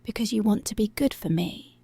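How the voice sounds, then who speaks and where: A woman playing a dominant, teasing, seductive policewoman in an intimate ASMR roleplay, with a breathy, whispery voice and slow, deliberate, commanding delivery. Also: medium pitch, mellow, no room sound. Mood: teasing, controlling. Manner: slow, deliberate, controlling, drawn-out phrases.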